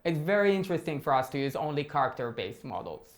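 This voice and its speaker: A man's voice: fairly high pitch, sing-song, fast. A man giving a technical talk and answering audience questions, speaking as a with frequent fillers and restarts. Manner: hesitant, many fillers and restarts. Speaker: tech talk presenter.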